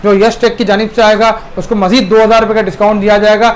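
A man with a Pakistani accent giving a casual, eager sales pitch as a phone retailer, repeating prices and specs. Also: flat delivery, quick pace. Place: small phone shop, indoors. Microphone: clip-on lapel mic.

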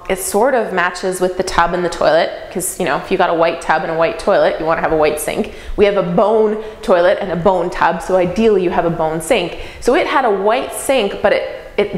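A woman in her thirties, talking to camera in a casual, upbeat vlog, standing in an empty bedroom. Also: medium pitch. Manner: upbeat, chatty, practical. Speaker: real estate investor vlogger. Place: empty bare-walled bedroom indoors. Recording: echoey empty room.

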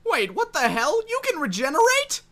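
An actor playing a small, anxious sidekick man in a cartoon parody, squeaky, whiny and frantic. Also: huge pitch swings, normal speed, a bit bright, exaggerated voice. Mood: panicked. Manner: frantic, exasperated. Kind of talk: comedic anime parody voice acting.

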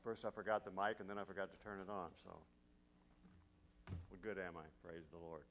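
A man's voice: low voice, fairly even intonation, brisk, fairly bright tone. A middle-aged man leading a casual church service from the pulpit, folksy and peppered with hallelujahs and praise the Lords. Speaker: pastor. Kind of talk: casual sermon and prayer time. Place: large church sanctuary. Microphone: podium mic.

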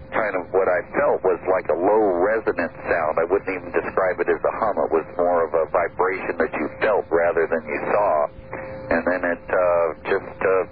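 A man calling a radio talk show, his voice very low. He comes through a thin, phone-like line with faint hiss behind him. He tells his story haltingly, with many pauses. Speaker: caller.

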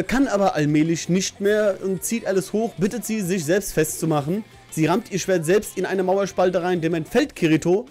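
A man's voice: high-pitched, darkish tone. A middle-aged man giving a casual, colloquial episode recap in a chatty, hesitant way, recorded at a desk microphone in a small home office. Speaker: host of an anime review channel. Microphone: desk condenser microphone on a stand.

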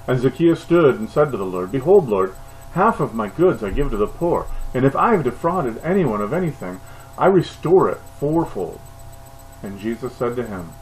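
A man's voice: medium pitch, a little hiss or hum, poor audio. A man in his forties, a pastor, preaching a sermon and reading scripture aloud with earnest emphasis, seated on a couch at home in front of a webcam. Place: living room, seated on a couch, re-recorded at home. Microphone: webcam at close range.

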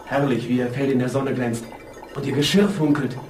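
A man's voice: medium pitch, steady tone, moderate pace, lightly acted. Distant, eager young apprentice lad played by a man. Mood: awestruck. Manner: awestruck, eager announcing. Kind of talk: German fairy-tale audio play acting. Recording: roomy, distant, noisy with ambience.

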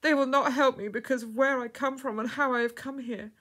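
Woman acting desperate tearful young woman, high-pitched. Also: fairly even intonation, fairly quick, a bit bright. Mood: desperate, weeping. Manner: pleading, tearful, intense. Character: frightened, desperate young foreign woman in labour.

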